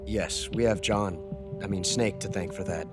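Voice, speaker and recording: An actor playing a cunning, smug double agent in a video game, reporting to superiors in a sly, deliberate way. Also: male voice, low voice, over loud music. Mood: smug, pleased. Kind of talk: dramatic video game acting, one-sided phone report.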